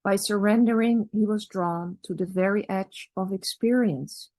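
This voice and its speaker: A woman whose first language is Dutch, giving a measured, reflective academic talk at a conference with pauses and restarts. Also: medium pitch, warm tone, dry recording. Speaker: conference speaker.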